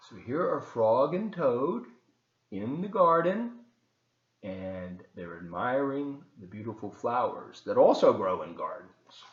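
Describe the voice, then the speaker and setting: A man talking to children as a volunteer storybook reader, explaining things in an animated, unhurried, folksy way. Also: medium pitch. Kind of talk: talking to children and reading a picture book aloud. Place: at home, partly in a living room. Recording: roomy, slightly muffled home video.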